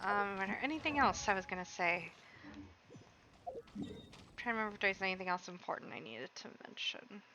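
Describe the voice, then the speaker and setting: A woman's voice: medium pitch, expressive, brisk, pauses often, background noise. A woman streamer chatting casually and rambling while she plays a game, talking back to the characters on screen. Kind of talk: casual chat while gaming.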